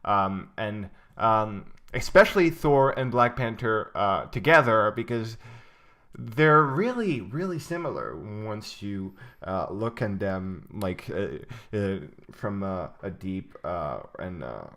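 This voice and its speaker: A man's voice: fairly low pitch, big pitch swings, takes it slowly, a bit bright. Rambling young man co-hosting a podcast. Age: young adult. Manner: rambling, many ums and uhs, laughs often. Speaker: podcast co-host. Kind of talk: casual chat.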